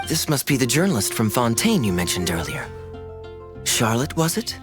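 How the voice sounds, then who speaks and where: A suave young cavalry captain, acted by a man, in a medium-pitched, lively voice. He is courteous and playfully teasing in the polished style of game acting, with music clearly behind. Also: normal speed, lightly acted. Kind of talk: animated video game voice acting.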